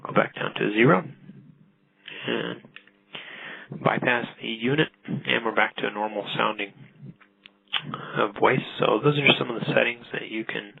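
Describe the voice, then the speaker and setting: A man demonstrating a product in a casual, halting, matter-of-fact tutorial narration. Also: mid-range voice, vocal fry, breathy edge, narrow, tinny audio.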